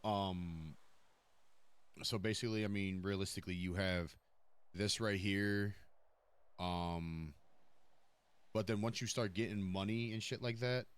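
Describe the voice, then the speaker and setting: A man's voice: lowish voice, fairly even intonation, a little slow, pauses often, smooth. A man chatting casually in online roleplay, blunt and swearing heavily. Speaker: streamer playing a mob boss in online roleplay. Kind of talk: casual in-character roleplay chat.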